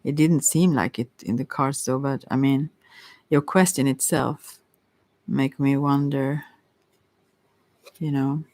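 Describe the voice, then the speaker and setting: Slow, creaky ASMR tarot reader. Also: mid-range voice, fairly even intonation, a little mellow, no room sound. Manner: hesitant, halting, trailing off. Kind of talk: ASMR tarot reading, unscripted.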